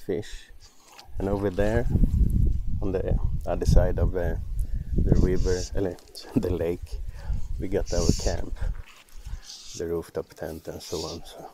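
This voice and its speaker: A man from Sweden, a native Swedish speaker, chatting casually and haltingly as he vlogs a fishing and camping trip. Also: deep voice, little pitch movement. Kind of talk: casual chat to camera while fishing. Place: outdoors by lakes and a forest campsite. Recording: outdoor noise on camera mic.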